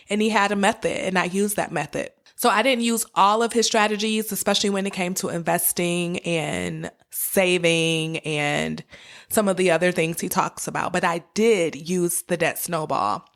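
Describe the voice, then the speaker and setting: Slightly raspy, lower-voiced woman podcaster with a cold. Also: a bit bright. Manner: encouraging, direct address to listeners. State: has a cold with cough and sore throat. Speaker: podcast host. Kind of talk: personal finance podcast monologue, conversational coaching.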